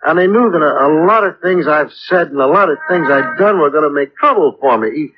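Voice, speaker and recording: An actor playing a distraught male ground-crew mechanic in old radio drama style, defensive, halting and stammering. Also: a little high, expressive, lightly acted. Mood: anxious, upset. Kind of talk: 1950s radio drama acting. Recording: dull, hissy old radio recording.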